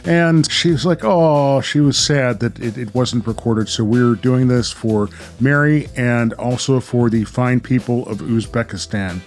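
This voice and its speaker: A man's voice: mid-range voice, a little slow, few pauses, soft background music. An American man hosting a podcast, chatting casually and narrating. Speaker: podcast host.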